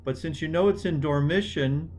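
A man's voice: fairly high pitch, normal speed, darkish tone, slight background noise. A man hosting an online music class session, talking with hesitant ums and restarts.

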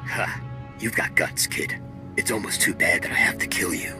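A man's voice: low-pitched, normal speed, natural voice. An actor playing a menacing, cocky male gunslinger in a video game, with a threatening, sneering manner. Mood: menacing, scornful. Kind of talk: scripted video game acting. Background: music.

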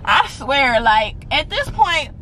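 High-voiced young woman vlogging, noisy background. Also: takes it slowly. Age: around 20. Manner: animated, slangy, dramatic complaining. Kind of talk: casual chatty vlog to camera. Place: at home, then inside a car. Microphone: camera at arm's length or propped nearby. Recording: noisy camera audio with car hum.

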